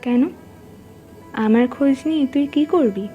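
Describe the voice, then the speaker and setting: A woman's voice: sits high, a little slow, mellow, highs cut off, faint music behind. A woman acting a hurt, teasing young woman reunited with a close friend in an audio drama. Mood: hurt, sarcastic. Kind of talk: Bengali audio drama dialogue.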